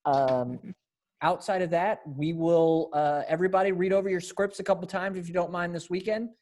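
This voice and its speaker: A man's voice: high-pitched, steady tone, moderate pace, a little mellow. A man directing a readers theater group, talking informally and encouragingly as he organizes rehearsals on a video call.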